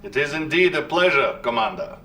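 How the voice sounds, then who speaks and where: An actor playing a gracious, grandly diplomatic Soviet premier in a video game cutscene. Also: male voice, on the high side, lively intonation, brisk, pushed and bright. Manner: formal, gracious. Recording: slightly distant, faint background noise.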